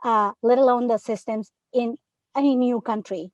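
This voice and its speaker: A woman from Peru who teaches third grade speaks earnestly on a panel, pressing her points with rhetorical questions. Her voice sits a little high. The sound is dry and close. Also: steady tone, normal speed, darkish tone, no echo, dull audio. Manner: earnest, emphatic, many rhetorical "right?". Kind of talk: panel discussion.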